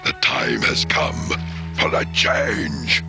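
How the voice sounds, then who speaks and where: An actor playing an ancient, massive sea monster in a cartoon, menacing and imperious, with a deep gravelly voice and grandiose speech. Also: male voice, wildly swooping pitch, full character voice. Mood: brooding. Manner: grandiose, slow archaic speech. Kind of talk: theatrical cartoon villain acting. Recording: loud dramatic music behind.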